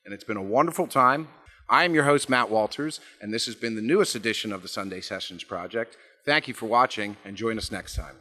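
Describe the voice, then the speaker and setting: Low-voiced man with a bright, forceful tone. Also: expressive, a little fast. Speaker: host of a music session show. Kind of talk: casual interview and show sign-off.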